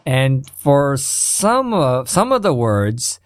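A man from Canada in casual conversation, telling stories in an animated way and laughing easily. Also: medium pitch, takes it slowly, no echo, studio quality.

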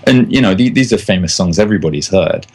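A man's voice: low voice, lively intonation, quick pace, a little mellow, slightly rough. An Englishman in casual conversation, enthusiastic and often restarting his phrases. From England.

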